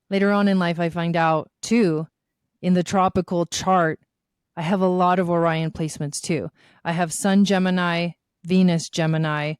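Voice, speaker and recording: Argentine-born woman, smooth low voice. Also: a little mellow, no room sound, studio quality. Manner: enthusiastic, chatty, with frequent fillers and restarts. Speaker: guest. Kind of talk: casual conversational interview.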